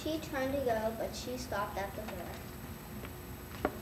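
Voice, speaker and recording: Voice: mid-range voice, expressive, slow pace, recorded from a distance. Young child narrating, echoey room. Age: child, about eight or nine. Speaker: narrator in a school readers' theater. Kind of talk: scripted story narration, read aloud. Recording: echoey, noisy room from afar.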